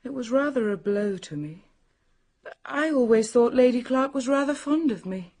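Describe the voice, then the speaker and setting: An actress playing a composed, proud young woman in a radio drama, speaking in a poised, deliberate, formal way. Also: on the high side, animated, darkish tone. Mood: wounded dignity.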